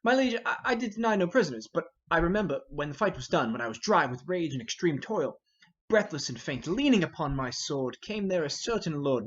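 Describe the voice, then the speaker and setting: A man acts out a hot-headed young noble warrior in a Shakespeare play. He declaims with scorn and impatience, his raised, husky voice swinging widely. The recording is dull and muffled. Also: on the high side. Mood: heated, scornful. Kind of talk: Shakespearean verse drama reading.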